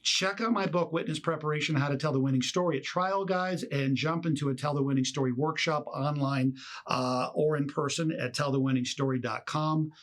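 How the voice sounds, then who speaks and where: A man's voice: fairly high pitch, fairly even intonation, fairly quick. A man presenting a motivational instructional talk as a coach, emphatic, leaning on key words and posing rhetorical questions. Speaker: trial consultant and author presenting a lesson.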